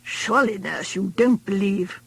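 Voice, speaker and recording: A woman's voice: a little slow, gravelly, breathy edge, dry recording, full character voice. A woman voicing a patient, dryly placating middle-aged husband. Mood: wry. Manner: dry, placating, lower-pitched.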